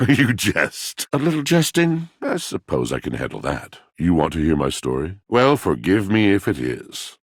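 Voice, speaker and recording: Very deep, gravelly poetic brooder. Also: male voice, sing-song, measured pace, dry recording, studio quality, heavily acted. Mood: brooding, wry. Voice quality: gravelly, husky. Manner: florid, grandiose, poetic diction. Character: brooding, melodramatic poetic male washing machine, lovelorn. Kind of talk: theatrical video game character acting.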